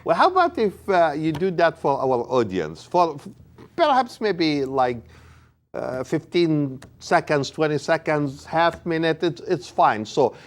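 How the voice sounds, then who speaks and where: A man interviewing a guest, asking questions in a halting way with frequent restarts and repeated words. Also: on the high side, big pitch swings.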